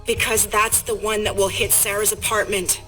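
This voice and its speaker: An actress playing an insistent, direct intelligence officer in a video game. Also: female voice, medium pitch, level tone, moderate pace, sharp tone, close to an everyday voice. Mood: resolute, grave. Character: urgent, steely female intelligence officer. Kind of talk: video game cutscene acting. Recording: music underneath.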